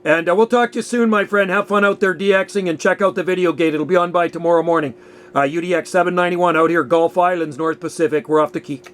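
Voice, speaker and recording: A man with a fairly high voice, talking as a radio hobbyist. His style is casual and chummy, full of slang. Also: steady tone, fast, fairly bright tone, a little hiss or hum. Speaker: amateur radio operator. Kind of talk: casual radio contact chat.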